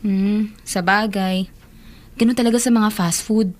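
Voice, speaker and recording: A woman's voice: mid-range voice, steady tone, measured pace, natural voice. A woman playing a patient, frank young older sister in a Filipino radio drama, with a Filipino accent. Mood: resigned, chatty.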